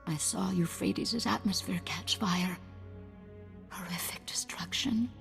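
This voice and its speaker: An actress playing a shaken, horrified crew member of a medical ship in a video game. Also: female voice, on the low side, takes it slowly, creaky, a bit bright, in a whisper, soft background music, full character voice. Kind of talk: video game cutscene acting.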